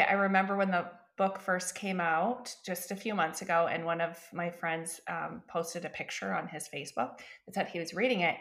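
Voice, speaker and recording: A woman's voice: medium pitch, pushed and bright. A woman on a video call, a guest and co-author, talking casually with frequent fillers and laughs. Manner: chatty, laughs often, many fillers. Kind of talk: casual interview talk.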